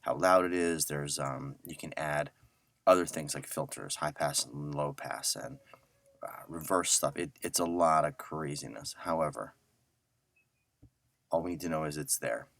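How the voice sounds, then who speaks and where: A middle-aged man giving a casual audio software tutorial, talking informally and thinking aloud as he works. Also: extremely low pitch, a little slow, gravelly, no room sound. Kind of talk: casual screen-share tutorial.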